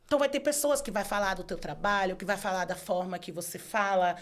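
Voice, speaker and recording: A woman's voice: mid-range voice, quick pace. Earnest woman with a Northeastern Brazilian accent. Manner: earnest, with frequent hesitations and restarts. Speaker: guest, law professor and author. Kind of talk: casual interview chat.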